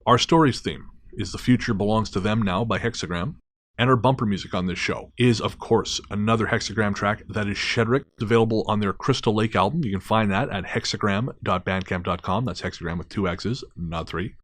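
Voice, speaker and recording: A man's voice: on the low side, brisk, dry recording. A man co-hosting a podcast in a casual, chatty style, with a self-deprecating, joking way of talking. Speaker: podcast co-host.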